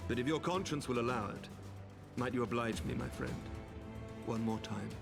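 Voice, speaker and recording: An actor playing a noble, resolute knight commander in a video game, speaking formal, eloquent English. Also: male voice, mid-range voice, moderate pace, gravelly, natural voice. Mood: gracious, earnest. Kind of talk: scripted video game cutscene acting. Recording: music behind the voice.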